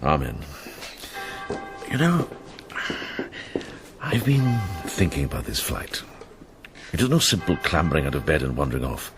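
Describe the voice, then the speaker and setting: Very deep-voiced, thoughtful British monk sleuth. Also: male voice, big pitch swings, over music, exaggerated voice. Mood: calm, pondering. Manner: measured, hesitant pondering, gently authoritative. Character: shrewd, devout, thoughtful male medieval monk turned investigator. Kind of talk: British radio drama acting.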